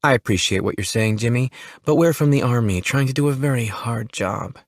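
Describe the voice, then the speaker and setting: A wry female army investigator with a teasing edge, read by a man in a low voice close to his own. She ribs her partner dryly. The recording is dry and close. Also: normal speed, no room sound, natural voice. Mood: coaxing.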